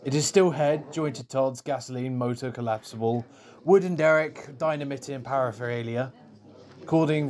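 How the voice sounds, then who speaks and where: An affable engineering professor, played by a man, talks in a mid-pitched voice. He is chatty and instructive, explaining with care in the manner of an audio play. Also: darkish tone, natural voice. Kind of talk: audio drama acting.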